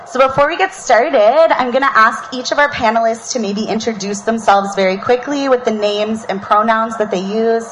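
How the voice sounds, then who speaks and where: A woman hosting an outdoor panel, reading an opening statement in a measured, earnest way, then giving casual announcements. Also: fairly high pitch, a bit bright, slight background noise, poor audio. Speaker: event host and panel moderator. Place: outdoor public event.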